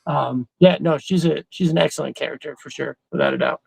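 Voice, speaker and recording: A man's voice: a little high, brisk, creaky, breathy edge, no echo, rough recording. A middle-aged man co-hosting a casual chat, blunt and conversational, with some swearing.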